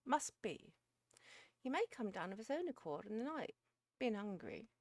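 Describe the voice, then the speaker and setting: A woman's voice: high-pitched, very animated, moderate pace, dry recording, clean studio sound, voice pushed up, exaggerated voice. A woman voicing a put-upon, philosophical female cook in a storybook, plaintive and resigned.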